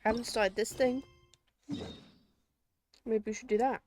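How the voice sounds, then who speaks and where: A woman's voice: on the high side, fairly even intonation, measured pace, darkish tone, faint music behind. A woman game streamer giving casual, wry live commentary and thinking aloud as she plays.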